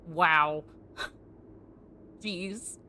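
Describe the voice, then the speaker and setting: A woman's voice: on the low side, extremely slow, slight background noise. A woman streaming a game with casual, playful live commentary full of little exclamations and murmured reactions. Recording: loud game music underneath. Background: video game music and dialogue.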